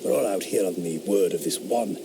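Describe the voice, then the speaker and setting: An actor playing a sardonic eighteenth-century philosopher who mocks the ghost hunt with dry, scornful wit. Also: male voice, low voice, fairly quick, vocal fry, noisy background. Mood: urgent. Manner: dry, mocking, skeptical. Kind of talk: radio drama acting. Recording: audio drama with woodland ambience.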